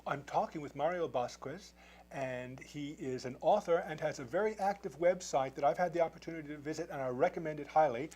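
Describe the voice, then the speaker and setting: A man's voice: a little high, brisk, darkish tone. A man hosting a TV interview, asking long, rambling questions with many restarts. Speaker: TV program host. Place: television studio.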